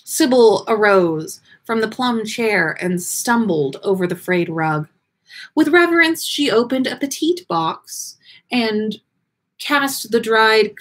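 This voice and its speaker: A woman reading a story aloud in a theatrical, expressive way with drawn-out words and pauses, as the host of a livestream. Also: mid-range voice. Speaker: podcast host reading listener fan fiction on a livestream.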